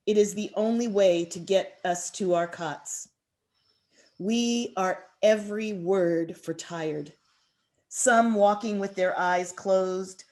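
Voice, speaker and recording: A woman author presenting and reading her poetry in a measured, expressive way. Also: medium pitch. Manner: measured, deliberate, expressive delivery.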